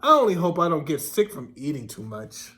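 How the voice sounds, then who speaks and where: A man's voice: on the high side, sing-song, fairly quick, bright, forceful tone, voice pushed down. A man voicing an anxious, insistent hen who frets and exclaims, in a children's storybook read-aloud. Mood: fretful. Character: anxious, worrying hen, female, insistent and alarmed.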